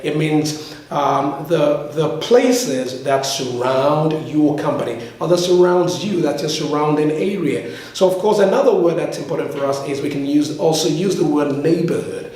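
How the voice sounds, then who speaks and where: A man's voice: on the high side, darkish tone. A man teaching an English lesson to viewers, explaining didactically and repeating key words for learners to say along. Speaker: English teacher and video host. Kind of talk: language lesson to camera. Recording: very echoey, reverberant room.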